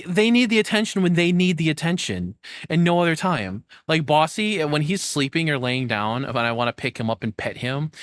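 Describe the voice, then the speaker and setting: A man's voice: a little high, a bit bright, no room sound, clean studio sound. A man, probably in his thirties, streaming casual reaction commentary in a candid, self-deprecating, rambling way.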